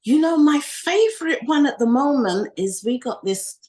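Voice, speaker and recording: A woman from Ghana, a makeup designer guesting on a podcast, talking in a relaxed, friendly way and growing animated when she tells stories. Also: high-pitched, normal speed. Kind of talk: casual interview chat.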